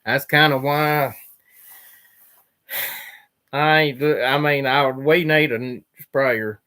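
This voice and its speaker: A man, a farmer, talking in an easy drawl at a middling pitch. He speaks plainly, restarts his sentences and lets them trail off as he answers viewers' questions on a livestream. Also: steady tone, slow, sharp tone, clean tone. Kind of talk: casual chat reading viewer questions.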